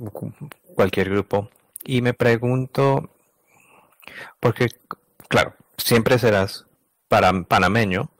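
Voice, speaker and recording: A man with a fairly low voice works as an interpreter, relaying an interview as it goes. His delivery is halting, with stops, restarts and self-corrections. The sound is dry and close, with little room around it. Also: fairly bright tone, no echo. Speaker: interpreter voicing a translated conversation.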